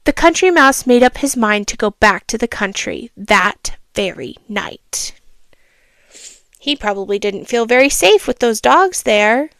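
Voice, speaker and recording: A woman reading a children's storybook aloud, expressive and teacherly, with asides and questions for the listener. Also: a little high, a little slow, vocal fry, no echo.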